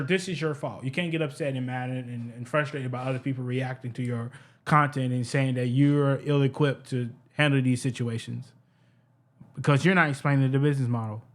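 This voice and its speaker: A man with a high-pitched voice giving heated, profane reaction commentary, repeating himself and stumbling over his words. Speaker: reaction streamer.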